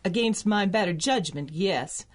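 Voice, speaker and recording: A woman's voice: mid-range voice, animated, a little slow. A woman playing a sardonic, long-suffering secretary in a 1930s-style radio drama. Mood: wry. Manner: dry, sardonic.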